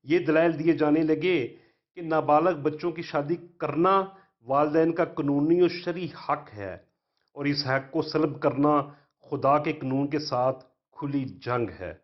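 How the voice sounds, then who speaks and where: Higher-pitched Pakistani man narrating, dull sound. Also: fairly even intonation, slow pace. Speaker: history channel narrator. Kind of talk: scripted educational narration.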